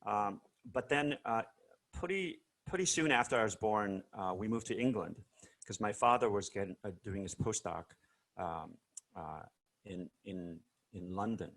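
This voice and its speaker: A man's voice: on the low side, expressive, unhurried, fairly bright tone. A man from South Korea being interviewed over a video call, telling stories casually with many fillers and restarts. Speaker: guest musician.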